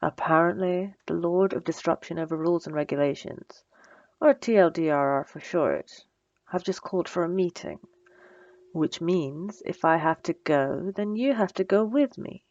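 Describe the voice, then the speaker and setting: A woman playing a bossy, sarcastic supervillain with a soft spot, in a teasing, dry, conversational ASMR roleplay. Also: lowish voice, normal speed, no room sound, dull audio, natural voice. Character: female supervillain, bossy and sarcastic, secretly flustered by compliments. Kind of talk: ASMR roleplay audio drama.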